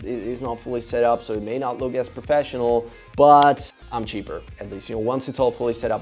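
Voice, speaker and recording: Enthusiastic Czech-accented man, mellow voice. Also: mid-range voice. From Czech Republic. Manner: energetic, enthusiastic, rambling. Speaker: psychologist and YouTuber. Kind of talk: casual talk to camera.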